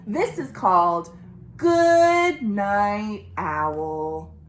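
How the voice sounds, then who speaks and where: A woman reading a picture book aloud for young children as a storytime host, animated, with questions to listeners and dramatic pauses. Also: mid-range voice. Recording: roomy, echoing indoor sound.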